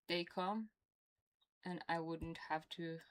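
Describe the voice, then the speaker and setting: A woman's voice: lowish voice, fairly even intonation, takes it slowly. A woman from Norway with a Norwegian accent, chatting casually on a game stream with a toothache, rambling and laughing often. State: has a toothache.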